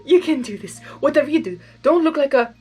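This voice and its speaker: A woman's voice: high-pitched, lively intonation, fairly quick, a little mellow, voice pushed up. A woman voicing a nervous, lovestruck, self-doubting male creature in a theatrical story reading, talking to himself in exclamations. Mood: anxious determination. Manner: exclamatory, self-coaching pep talk.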